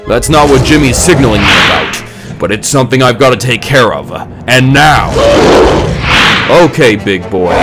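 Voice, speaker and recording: A man voicing an earnest, polite superhero in a measured comic-book dub style. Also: on the low side. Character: earnest adult male superhero and mild reporter. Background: music.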